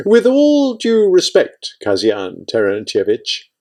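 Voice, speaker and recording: A man voicing a proud, indignant male scientist in an audiobook, forceful, exasperated and emphatic. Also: lowish voice, moderate pace. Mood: exasperated, defiant. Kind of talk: audiobook character acting.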